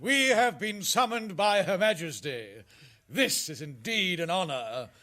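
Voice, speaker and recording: A man's voice: sits high, animated, unhurried, sharp tone, full character voice. A man playing a dutiful, self-satisfied parliamentary functionary in a satirical radio drama, speaking formally.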